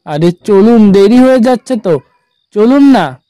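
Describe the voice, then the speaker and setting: A man voicing a nervous, impatient male passenger in an animated horror story. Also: high voice, a little slow, dry recording, clean studio sound, pitched above the performer's own voice. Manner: agitated, questioning.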